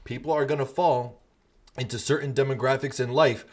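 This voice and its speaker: A man's voice: mid-range voice, fairly even intonation, brisk. A man co-hosting a podcast, talking bluntly and emphatically in a casual debate, swearing freely. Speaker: podcast co-host.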